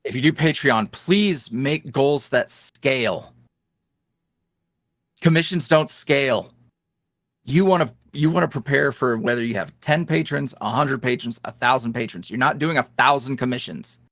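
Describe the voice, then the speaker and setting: A man talking candidly as an interview guest about his work as an audio creator, with quick self-deprecating asides. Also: medium pitch, fairly bright tone, dry recording, clean studio sound. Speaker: guest, ASMR roleplay creator. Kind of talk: casual interview chat.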